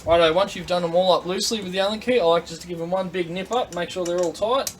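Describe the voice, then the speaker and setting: A man's voice: sits high, fast talker. An Australian man giving an informal, plain-spoken, step-by-step product demonstration in a casual instructional style. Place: indoor workshop at a tool bench. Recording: noisy workshop recording with clicks.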